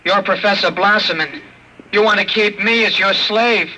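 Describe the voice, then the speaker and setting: An actor playing a childlike, obedient killer robot in old radio drama, speaking in simple, deliberate statements. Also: male voice, high voice, sharp tone. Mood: defiant. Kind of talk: 1950s radio drama acting. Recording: noisy old radio recording.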